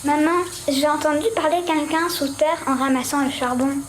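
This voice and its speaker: A woman's voice: sits high, steady tone, moderate pace, a little hiss or hum, lightly acted. A female performer playing a curious, insistent young girl in a radio drama. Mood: earnest, insistent. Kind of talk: radio fiction acting.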